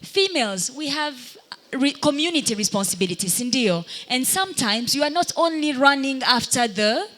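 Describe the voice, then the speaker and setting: Woman speaking with halting reflection. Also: high voice, animated, a bit bright. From Burundi. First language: Kirundi. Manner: halting and reflective, pausing to search for words. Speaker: dancer and panelist. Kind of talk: live panel discussion answering audience questions.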